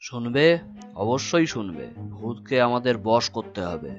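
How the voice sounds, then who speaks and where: A man's voice: medium pitch, sing-song, moderate pace, lo-fi sound, soft background music, natural voice. A man playing a resolute, secretive leader of ghost hunters in a horror cartoon, speaking with grave authority. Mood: confident, secretive. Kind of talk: animated horror story dialogue.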